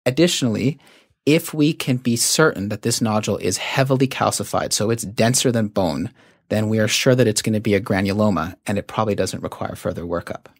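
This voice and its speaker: A man with a fairly low voice speaks as an expert guest in a medical interview. He explains methodically and didactically in measured phrases, and the sound is dry with little room echo. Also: vocal fry.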